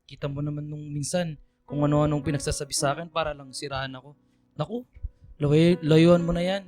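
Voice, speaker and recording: A man's voice: a little high, fairly even intonation, brisk, a little mellow, natural voice. Irritable, controlling Filipino boyfriend, acted by a man, music underneath. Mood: sulky, accusing. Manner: curt, nagging, defensive. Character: irritable, controlling older boyfriend, gamer, dismissive and defensive. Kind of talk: Filipino radio drama acting.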